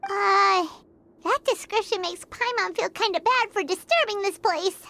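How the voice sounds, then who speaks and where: A woman voicing a small, cute, earnest childlike fairy companion in a video game, with a squeaky voice. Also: unhurried, sharp tone, exaggerated voice. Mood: uneasy. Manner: speaks of self in third person.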